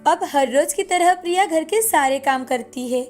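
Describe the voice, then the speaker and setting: A woman's voice: high-pitched, moderate pace, a little mellow. A woman narrating a scripted moral story for a cartoon, in the manner of a storyteller. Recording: music bed behind the voice.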